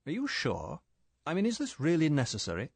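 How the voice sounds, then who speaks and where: A man's voice: a little high, wildly swooping pitch, a little fast, gravelly, slightly rough, no room sound, pitched above the performer's own voice, exaggerated voice. A man reading an audiobook, voicing a male secret agent who answers politely and defensively. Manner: polite, clipped, deferential.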